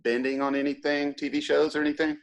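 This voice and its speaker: A man's voice: on the high side, little pitch movement, fast talker. A man from Georgia with a Southern American accent, hosting a casual interview in an easygoing, admiring, chatty way. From Georgia, USA. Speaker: host.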